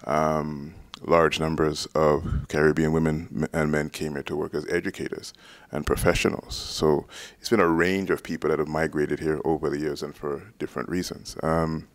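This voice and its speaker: Very deep gravelly man, measured. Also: little pitch movement, dry recording. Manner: measured explanatory, frequent ums. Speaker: interviewee. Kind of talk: documentary interview. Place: indoors, red-walled room, seated on couch. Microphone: handheld mic close to mouth.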